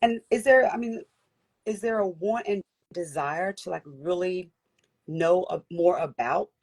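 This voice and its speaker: Husky-voiced enthusiastic woman host. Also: on the low side, a little slow, darkish tone. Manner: enthusiastic, affirming. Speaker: interview host. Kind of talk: casual interview conversation.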